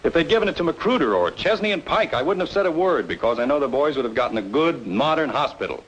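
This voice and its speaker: An actor playing a proud, bitter architect with a blunt, sarcastic manner in an old-time radio noir drama. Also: male voice, on the high side, sing-song, fast, fairly bright tone, slight background noise, poor audio. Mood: indignant. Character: bitter, proud male architect, sardonic and quick to anger. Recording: old radio recording with music behind.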